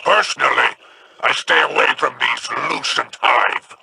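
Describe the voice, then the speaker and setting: An actor voicing a sly, scheming male alien crime lord in a video game, gravelly and wry with insinuating remarks. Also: mid-range voice, unhurried, bright, forceful tone, slight background noise, exaggerated voice.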